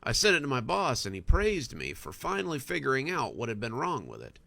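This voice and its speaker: A man reading a scripted first-person story as a narrator, with an expressive storytelling delivery. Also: mid-range voice, fairly quick, vocal fry, no echo.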